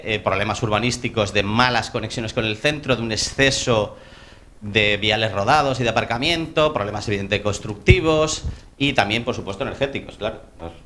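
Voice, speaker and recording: A man's voice: mid-range voice, a bit bright, slight background noise. A man with a Spanish accent from Spain giving an informal conference presentation as an architect, colloquial and self-interrupting, with joking asides.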